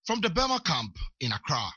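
A man narrating a sports news report in a measured way. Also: fairly high pitch, wildly swooping pitch, pushed and bright, breathy edge, dry recording, lo-fi sound.

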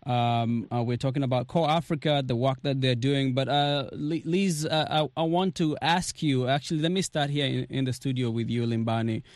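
A man hosting a live radio interview from a studio, phrasing his questions haltingly with repeated words. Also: medium pitch, a little mellow, no room sound. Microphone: studio microphone.

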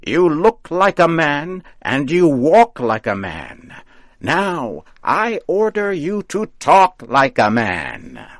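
Man doing a shrill old witch, gleeful, bossy, high loud drawn-out voice, lively swoops. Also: dry recording, clean studio sound, exaggerated voice. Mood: gleeful commanding.

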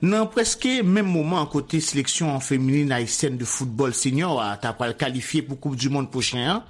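A man's voice: fairly high pitch, breathy edge. A man reporting sports news.

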